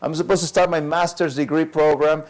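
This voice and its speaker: A man who is a lifestyle medicine doctor, telling his story as an interview guest in a relaxed way, with many fillers and frequent chuckles. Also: fairly high pitch, fairly quick.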